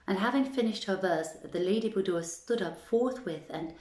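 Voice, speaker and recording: A woman's voice: mid-range voice, moderate pace, a little mellow. A woman hosting a storytelling evening and reading in a leisurely, conversational way. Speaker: host and reader of a storytelling series. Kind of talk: informal introduction, then literary storytelling reading. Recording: roomy echo with music underneath. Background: music playing in the background.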